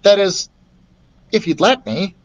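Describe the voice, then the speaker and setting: A man's voice: high-pitched, sing-song, a little slow, dull audio, pitched above the performer's own voice. A man voicing a nervous, remorseful young man who stammers sheepishly, read into a built-in laptop mic.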